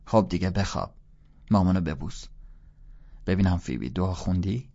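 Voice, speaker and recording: A man voicing a fussy, worried middle-aged mother who gently scolds and questions, in a dull lo-fi recording. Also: low voice, lively intonation, gravelly, heavily acted. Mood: fussing.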